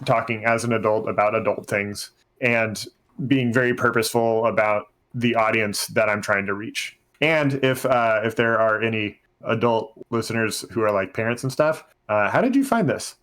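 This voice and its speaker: Low-voiced man in casual podcast talk. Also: normal speed, a bit bright. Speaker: podcast host; teacher.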